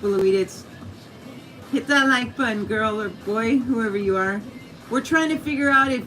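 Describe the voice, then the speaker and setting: A woman's voice: fairly high pitch, a bit bright. A woman with a Mexican American accent, chatting in a meandering way on a casual livestream, with lots of fillers and restarts and greetings to viewers. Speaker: YouTube live streamer. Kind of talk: casual rambling livestream chat. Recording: noisy livestream audio.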